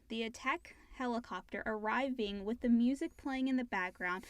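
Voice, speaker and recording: A woman's voice: a little high, no room sound. A woman co-hosting a casual question-and-answer show, reading listener questions aloud.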